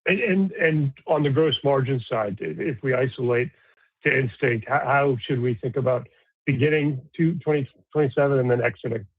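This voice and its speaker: A narrow telephone line, completely dry, carries a man's mid-pitched voice. He is a financial analyst putting questions to executives on an earnings call. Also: moderate pace, slightly rough, no room sound. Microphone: phone call.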